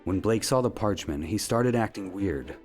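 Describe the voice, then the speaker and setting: Man playing a low-voiced, earnest investigator. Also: animated, a little mellow, lightly acted. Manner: courteous, measured. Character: polite, earnest amateur investigator, a determined man. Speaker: voice actor. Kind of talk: scripted point-and-click game dialogue. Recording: music under the dialogue. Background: background music.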